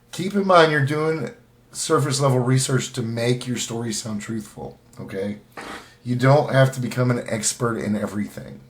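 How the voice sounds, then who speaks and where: A man hosting a show in a casual, folksy way, thinking aloud in a halting, unscripted manner. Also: on the low side. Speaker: host of a writing tips show.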